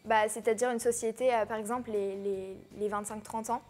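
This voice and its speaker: A woman's voice: on the high side, fairly even intonation, fairly quick, a little mellow, soft background music. A teenage girl being interviewed about her songs, hesitant, with many fillers and restarts. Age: teens. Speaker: guest singer. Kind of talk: casual interview.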